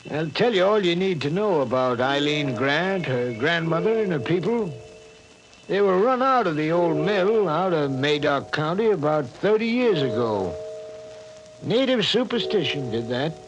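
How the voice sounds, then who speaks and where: A man's voice: on the high side, lively intonation, a little slow, lo-fi sound. A man playing a small-town sheriff who questions a suspect and stammers when shaken, in an old radio horror drama. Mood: stern. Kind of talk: 1940s radio acting.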